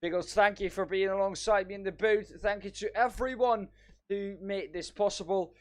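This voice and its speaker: A male co-commentator with a fairly high voice. He sounds upbeat and laughs easily as he congratulates drivers and closes the broadcast, speaking into a headset over a call. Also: steady tone, moderate pace. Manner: upbeat, easy laughs, wraps up the show. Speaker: race co-commentator. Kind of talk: sim racing commentary.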